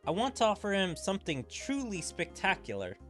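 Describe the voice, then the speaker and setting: A boastful, overeager young woman secretary, as a male streamer reads her lines. She sounds a little higher and very lively. Faint game music plays behind her. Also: a little fast, heavily acted. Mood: eager. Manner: eager and boastful reading.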